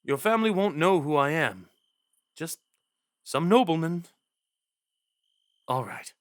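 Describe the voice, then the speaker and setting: A man playing an earnest, lovestruck young crown prince in a romantic ASMR roleplay, speaking tenderly and intimately with dramatic pauses. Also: fairly high pitch, lively intonation, no echo, clean studio sound. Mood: hopeful, pleading.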